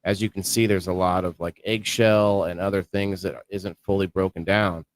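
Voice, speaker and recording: Male co-host, low voice, dry close mic, laid-back monotone livestream chat. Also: moderate pace, dry recording, low-quality recording. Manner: low-key, self-described monotone delivery. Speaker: co-host of a live-streamed indoor growing show.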